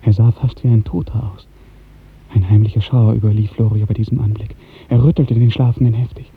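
A man narrating a literary 1950s radio drama in a measured, poetic storytelling manner. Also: fairly low pitch, steady tone, breathy edge. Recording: muffled narrow 1950s radio recording.

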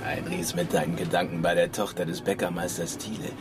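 An actor playing a poor, lovesick soldier on watch, with a gravelly voice, in a children's radio drama. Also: male voice, low voice, level tone, fast talker, close to an everyday voice. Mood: wistful.

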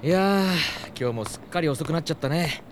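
A man voicing a gentle, timid, polite young man in a Japanese audio drama, boyish and hesitant. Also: on the high side, expressive, a little fast, a little hiss or hum, natural voice. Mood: friendly, easygoing. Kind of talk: Japanese anime-style audio drama acting.

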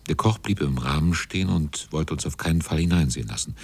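An actor playing a sober sailor narrator, recounting a sea voyage in a literary radio drama, with a husky voice. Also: male voice, rumbling low, fast, heavily acted.

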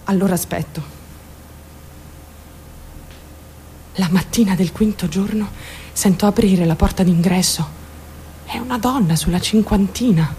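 A woman's voice: mid-range voice, moderate pace, warm tone, slight background noise, natural voice, rough recording. An actress playing a troubled teenage girl who recounts her life in an intense, confiding dramatic radio monologue. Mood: subdued. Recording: radio drama over background music. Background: music.

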